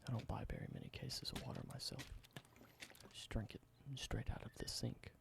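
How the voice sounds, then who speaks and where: A man doing a whispered ASMR roleplay, explaining things slowly and correcting himself now and then. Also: medium pitch, fairly even intonation, creaky, background noise. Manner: soft whisper, slow explanations with small self-corrections. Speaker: ASMR creator.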